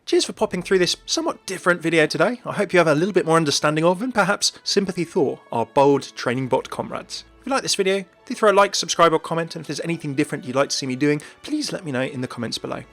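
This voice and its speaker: Brisk, animated man hosting. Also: a little high, vocal fry, soft background music. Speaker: video host. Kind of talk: scripted video commentary on a game.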